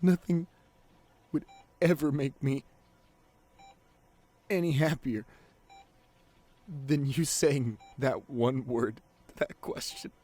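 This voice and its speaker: A man acting a devoted, guilt-ridden boyfriend at a bedside in an intimate ASMR roleplay, speaking tenderly and haltingly with a lot of emotion. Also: medium pitch, warm tone. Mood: tender, hopeful. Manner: tender, halting, emotional delivery with repeated phrases.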